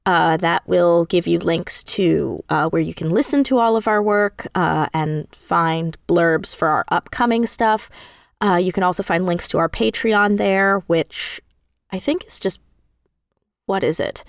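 A woman's voice: lowish voice, unhurried, gravelly, no room sound, clean studio sound. A woman chatting casually as an interview guest, with an effusive, enthusiastic way of talking. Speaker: interview guest, audio drama creator.

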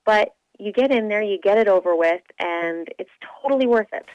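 Woman, upbeat interviewee, emphatic and conversational, mid pitch, dry but lo-fi telephone sound. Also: normal speed, darkish tone, no room sound. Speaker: radio show personality being interviewed as charity event organizer. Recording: dull lo-fi telephone line.

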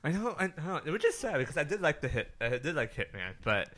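A man's voice: on the high side, sing-song, brisk, fairly bright tone. A man co-hosting a podcast in casual chat, agreeable and repeating words for emphasis. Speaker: podcast co-host.

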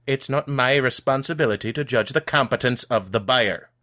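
A man's voice: medium pitch, normal speed, pushed and bright, pitched above the performer's own voice, lightly acted. A man voicing a grumpy, dismissive old male wizard shopkeeper who grumbles his lines. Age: older. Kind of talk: audiobook character voice.

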